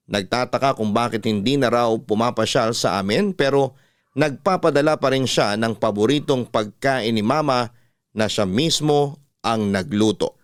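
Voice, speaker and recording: A man's voice: medium pitch, no room sound, clean studio sound. A man with a Filipino accent narrating a scripted story in classic radio storytelling style, as host reading a letter.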